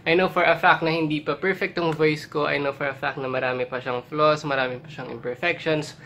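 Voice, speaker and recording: A young man with a Filipino accent chats to his camera in a small bedroom. He talks quickly and encouragingly, slipping between languages in a casual vlog style. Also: fairly high pitch, steady tone, creaky, a bit bright, slight background noise. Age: young adult. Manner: fast, code-switching, encouraging. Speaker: vlogger and amateur singer.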